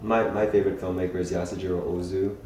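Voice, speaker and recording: A young man from Hawaii, a filmmaker being interviewed, speaking thoughtfully with many pauses and restarts. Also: low voice, little pitch movement, mellow. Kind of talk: casual interview talk. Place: festival venue indoors. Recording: very echoey room.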